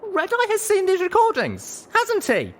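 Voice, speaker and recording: Man doing a high squeaky young unicorn mare, wary, pointed questions, storybook reading. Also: huge pitch swings, a little slow, over a noisy crowd, full character voice. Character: small young female unicorn, wary heroine.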